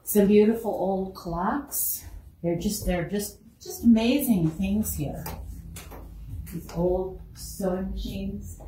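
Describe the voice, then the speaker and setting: A woman's voice: medium pitch, slow pace, warm tone. A woman curator giving a casual guided tour of a museum, chatting about each exhibit. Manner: chatty, lingers on exhibits, laughs easily. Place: indoor museum room. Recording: roomy, distant mic, faint noise.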